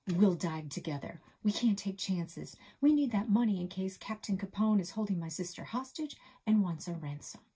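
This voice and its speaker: A woman voicing a bold, excitable girl treasure hunter who talks in a raised, eager voice. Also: mid-range voice, normal speed, few pauses, slightly rough.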